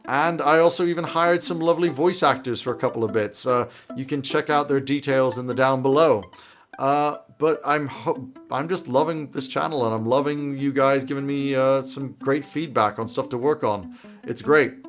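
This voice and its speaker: A man's voice: mid-range voice, moderate pace, faint music behind. A man in his thirties giving conversational advice to camera in an emphatic, opinionated way, as a video host. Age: 30s. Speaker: host of tabletop roleplaying video channel. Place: small indoor home office.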